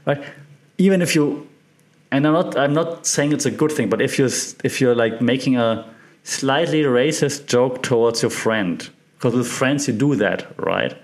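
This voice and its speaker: Male podcast host, German accent, mid pitch, informal explainer, halting and conversational, often checks in with the listener. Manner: conversational, halting, repeats words, checks in with "right?".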